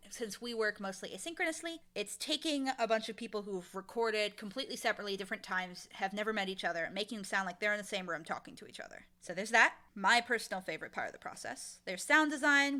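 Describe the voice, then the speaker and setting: Bright-voiced woman, effusive interview guest. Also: mid-range voice. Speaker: interview guest, audio drama creator and showrunner. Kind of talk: casual, enthusiastic interview talk.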